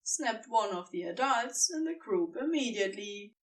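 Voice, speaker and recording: A woman's voice: a little high, lively intonation, normal speed, distant mic. A woman reading scripted fiction as a storybook narrator.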